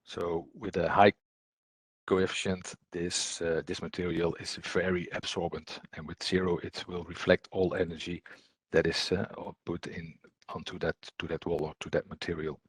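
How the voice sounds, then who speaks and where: A man giving an explanatory technical presentation as a trainer, unscripted, in a halting, hesitant way with repeated words. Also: sits low, flat delivery, husky, dry recording.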